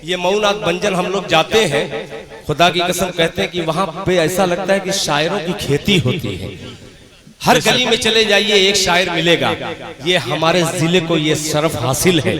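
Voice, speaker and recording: Give me a high-voiced man chanting verse. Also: crowd noise. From India. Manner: chanting verses slowly with long held notes. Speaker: poet at a religious poetry gathering. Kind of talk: reciting devotional verse in a sung chant. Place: outdoor stage at a night gathering.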